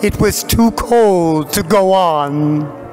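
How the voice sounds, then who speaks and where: An older man narrates a stage show at a lectern microphone in a large church hall, with music behind him. His voice is high for a man and extremely slow, each phrase set apart by dramatic pauses. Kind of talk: theatrical scripted narration.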